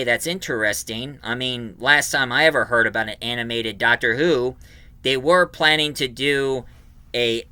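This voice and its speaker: A man with a very bright, forceful voice and a clean, resonant tone. He hosts a casual review for his channel, rambling along with a fan's enthusiasm. The sound is dry, with little room on it. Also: mid-range voice, clean tone, dry recording. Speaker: YouTube reviewer and host.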